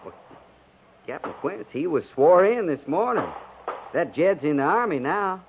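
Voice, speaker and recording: An actor playing a plain-spoken, wry frontier army sergeant with rustic, colloquial speech in a 1950s radio western. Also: male voice, fairly high pitch, animated, unhurried, phone-quality sound. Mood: reluctant. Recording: noisy, thin 1950s radio recording.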